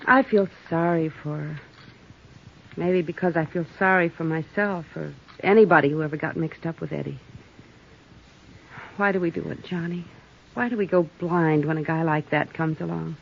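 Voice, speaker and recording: Weary, rueful young widow. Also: female voice, sits low, normal speed, gravelly. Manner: quiet, reflective, sad. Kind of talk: 1950s radio acting. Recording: dull old radio recording with faint hiss.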